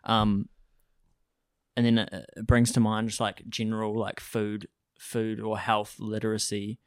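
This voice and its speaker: A man with a low, flat voice hosts a casual podcast, asking questions hesitantly and with many fillers. The recording is dry, with no room sound. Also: a little slow, clear, resonant tone, no room sound. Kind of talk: casual discussion.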